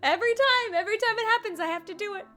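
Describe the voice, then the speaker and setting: A woman giving casual live gameplay commentary as a streamer, excitable, with teasing asides. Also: extremely high pitch, racing, soft background music. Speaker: game streamer.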